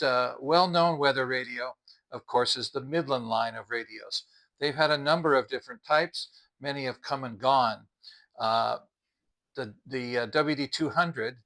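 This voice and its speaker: Bright, forceful man hosting a gadget review. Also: mid-range voice. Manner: conversational, explanatory, addresses viewers as friends. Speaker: host of a product review channel. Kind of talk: casual product review talk to camera.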